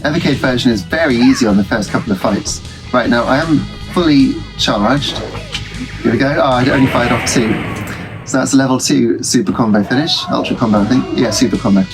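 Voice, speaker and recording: A man's voice: medium pitch, a little fast, low-quality recording. A man, stuffy from a cold, chatting casually through a game as host of his retro gaming channel, rambling with frequent ums and restarts. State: congested from a cold. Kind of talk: casual let's-play commentary. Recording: game music playing behind.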